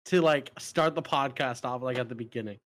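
A young man in casual podcast chat, animated and quick to interrupt the others. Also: mid-range voice.

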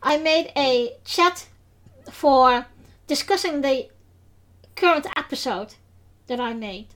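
A woman with a high-pitched voice and a Dutch accent hosts a podcast in English. She talks casually and hesitantly, pausing often with fillers and restarting her sentences. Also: animated, a bit bright. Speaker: podcast host.

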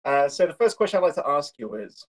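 A man hosting a podcast who asks his guest questions in a plain, measured way. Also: on the high side, very animated. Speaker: podcast host. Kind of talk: interview questions.

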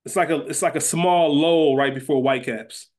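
A man's voice: on the high side, moderate pace, a little mellow. A man co-hosting a podcast, talking through a TV series in an informal, conversational, explanatory way. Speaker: podcast co-host. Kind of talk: casual podcast discussion of a TV series.

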